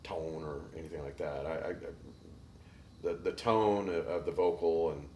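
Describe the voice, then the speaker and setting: A male singer as a guest in a casual interview, speaking haltingly and repeating words before finishing his thoughts. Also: low-pitched, fairly even intonation, a little mellow, slight background noise. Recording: roomy with faint ambience.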